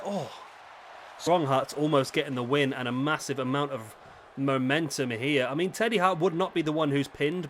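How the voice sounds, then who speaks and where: A man with a slightly rough, raspy throat, casually commentating a wrestling video game as the channel host, thinking aloud and correcting himself. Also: on the high side, slight background noise. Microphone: headset microphone.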